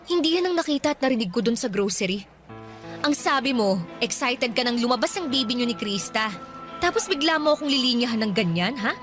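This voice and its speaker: An actress voicing a hurt young woman who confronts the man who lied to her, with sharp, reproachful retorts in a radio drama. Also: fairly high pitch, lively intonation, a little fast, faint music behind. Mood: bitter, hurt. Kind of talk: Tagalog radio drama acting.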